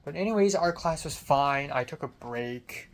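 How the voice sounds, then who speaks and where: A man's voice: fairly high pitch, expressive, moderate pace. A young adult man talking in a casual, rambling personal diary style, informal and conversational, swearing mildly. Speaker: film student vlogger.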